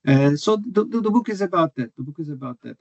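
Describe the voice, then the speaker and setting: A man from Turkey, a scholar answering interview questions about his book in a thoughtful way, pausing with many fillers and restarts. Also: on the high side, lively intonation, creaky, no room sound. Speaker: author and scholar, guest. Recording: dull dry video call audio.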